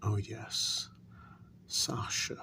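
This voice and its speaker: The hushed, crackling, very slow voice one would hear at a vampire queen's elbow in an ASMR tale, a deliberate, insinuating interrogator reporting in whispers, acted by a man. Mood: quietly insinuating. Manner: slow, deliberate, with drawn-out pauses. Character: measured, insinuating male vampire interrogator serving his queen. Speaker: ASMR role-play performer. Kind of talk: soft-spoken ASMR role-play, mostly whispered.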